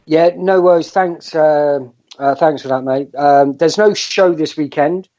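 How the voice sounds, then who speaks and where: A man talks through current affairs in a stop-start way, filling every pause with "uh". His voice is mellow and darker in tone. The audio sounds dull and lo-fi, like an online call. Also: fairly high pitch. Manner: hesitant, many "uh" fillers. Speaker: podcast co-host. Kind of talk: casual political discussion.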